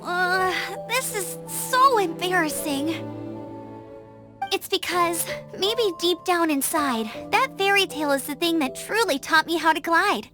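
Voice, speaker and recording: A woman's voice: very high-pitched, lively intonation, a little slow. An actress playing a cheerful young female outrider who talks in an upbeat, encouraging and slightly flustered way, with game music behind her. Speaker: outrider and gliding instructor.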